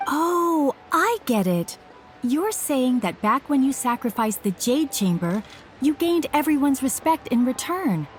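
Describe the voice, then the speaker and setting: An earnest craftswoman from a video game, polite and deferential to her client. Her voice is high and swoops expressively, with faint music behind it. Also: sing-song, unhurried. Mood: admiring, eager. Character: eager, deferential female artisan shopkeeper, polite and professional.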